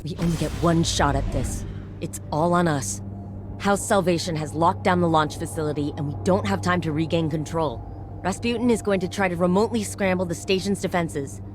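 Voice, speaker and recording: A woman's voice: fairly low pitch, brisk, over music, natural voice. A woman playing a serious military ally in a video game, giving a terse, businesslike and urgent briefing. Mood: urgent, determined. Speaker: mission briefer. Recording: clear background noise and vehicle ambience.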